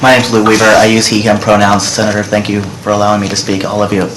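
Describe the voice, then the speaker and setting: A man's voice: on the low side, completely flat delivery, fast talker, noisy background. A middle-aged man giving earnest, direct testimony to senators from a desk microphone in a large hearing room. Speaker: witness testifying. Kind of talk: public testimony. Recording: roomy hearing room audio.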